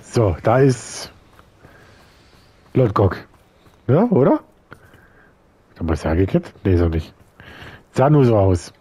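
A man's voice: on the low side, sing-song, slow pace, pauses often, dark tone, breathy edge, no echo. A man in his thirties from Germany giving rambling, offhand commentary while playing a story game. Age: 30s. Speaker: let's player. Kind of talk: casual gameplay commentary.

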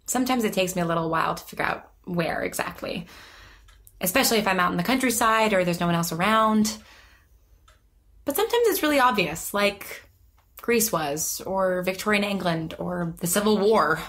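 An actress playing an anxious, lonely woman in her twenties, speaking haltingly and self-deprecatingly in a naturalistic audio drama. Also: medium pitch, animated, a bit bright. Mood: hesitant, reflective. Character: anxious, lonely young woman who vanishes through time.

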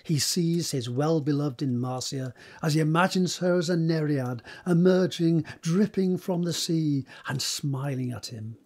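A man's voice: a little high, measured pace, hardly any pauses, a little mellow. A man narrating scene directions for a period romance audio drama in a scripted present-tense style. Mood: descriptive.

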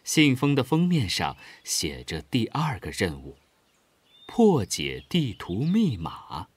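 Highly animated male storyteller. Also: takes it slowly. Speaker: story narrator. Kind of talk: expressive scripted children's story narration.

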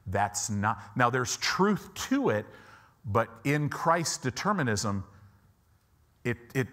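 A man's voice: lowish voice, fairly bright tone. An American man in his fifties preaching a conversational sermon as a pastor, emphatic and repeating phrases to stress his points. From United States, Chicago and Southern California. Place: church auditorium stage, indoors. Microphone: lapel mic.

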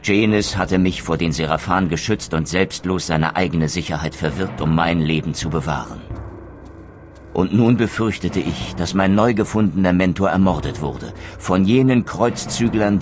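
An actor playing a bitter, solemn undead wraith in a video game, speaking in a grave, formal, theatrical way. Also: male voice, low voice, moderate pace, slight background noise, close to an everyday voice. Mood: grim, regretful. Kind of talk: dramatic scripted game dialogue and narration.

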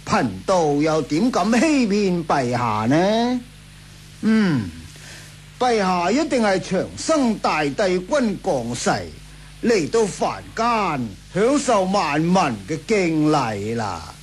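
A man playing a sly old Taoist priest in a radio drama, flattering and theatrical with drawn-out words. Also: high-pitched, a little hiss or hum, heavily acted. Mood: sly flattery. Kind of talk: Cantonese radio drama acting, 1980s.

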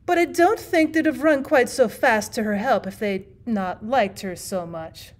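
A weary storybook aunt , as a woman narrator reads her. She speaks in a slightly high voice. She is fussy and flustered, weakly evasive and a little stiff. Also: a little slow, close to an everyday voice. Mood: lame, placating.

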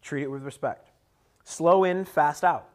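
A man in his thirties teaching a motorcycle cornering class in a conference room, energetic and joking, picked up by a camera across the room. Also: fairly high pitch, measured pace. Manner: energetic, joking, talks with hands at a whiteboard. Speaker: riding coach. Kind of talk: classroom motorcycle clinic lecture. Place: conference room with a group around tables. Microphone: camera at the back of the room, no visible mic.

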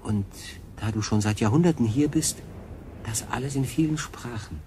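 A man's voice: medium pitch, normal speed, mellow, a little hiss or hum, lightly acted. An actor playing a stranded solo sailor in a radio drama, a curious man who speaks in a questioning, reflective way. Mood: curious, pondering.

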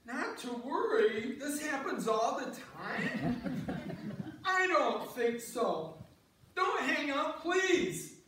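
Guy acting flustered pleading man, high voice, stage reading, far off and super echoey. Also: very animated, drawn-out words, pushed and bright, exaggerated voice. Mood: urgent, pleading. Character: flustered, pleading man stranded on ice fishing trip. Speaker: amateur reader's theater performer. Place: church sanctuary stage before an audience. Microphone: far audience camera. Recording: distant, very echoey church hall, noisy.

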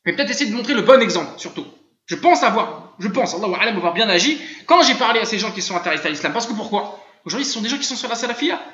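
A man with a high voice and an Arabic-tinged French accent teaches a live religious class over voice chat. He talks in an animated way, keeps asking rhetorical questions and often chuckles. The sound is roomy and dull, like lo-fi chat-room audio. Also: very fast. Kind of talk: live online religious lesson.

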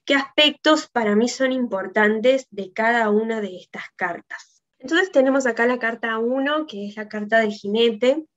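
High-voiced Uruguayan woman, dull lo-fi sound. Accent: Uruguayan Spanish. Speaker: tarot teacher giving a conference talk. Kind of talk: informal slide presentation. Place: indoor room, online video presentation. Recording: dull, lo-fi video call audio.

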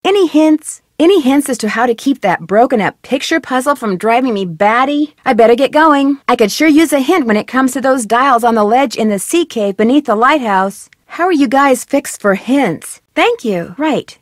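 A curious, persistent young female detective fires off friendly, direct questions in a studio game recording. Her voice sits a little high, and the sound is close and dry with no room around it. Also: expressive, normal speed, few pauses, no room sound. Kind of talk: game voice acting, studio lines. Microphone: close studio mic.

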